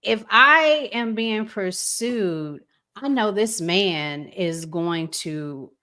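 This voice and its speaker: Slow-paced woman in casual discussion. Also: medium pitch, expressive, sharp tone.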